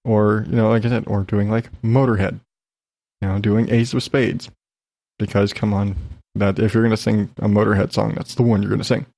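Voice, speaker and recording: A man's voice: lowish voice, level tone, moderate pace, vocal fry, a little mellow, no room sound. A man hosting a solo podcast, chatting in a rambling, frank, sweary way, slightly congested as he gets over an illness. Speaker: podcast host. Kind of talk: casual solo chat, rambling personal update.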